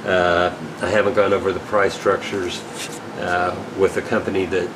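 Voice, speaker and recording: A man giving a casual, unscripted product update as an equipment dealer, in a rambling, halting, matter-of-fact way. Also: low-pitched, little pitch movement, background noise.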